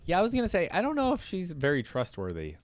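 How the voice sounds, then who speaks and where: A man's voice: sits high, huge pitch swings, fast, over loud music. A man giving casual reaction commentary as host, easygoing and thinking aloud as he goes. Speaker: host of a fan reaction video.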